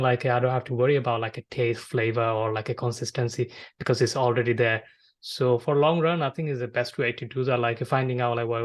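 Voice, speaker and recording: A man from Bangladesh with as his hosts a casual conversation. His mid-pitched voice moves very little in melody. He speaks haltingly, often circling back over his words. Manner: halting, repetitive phrasing with frequent filler "like". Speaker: podcast host. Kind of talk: casual interview chat.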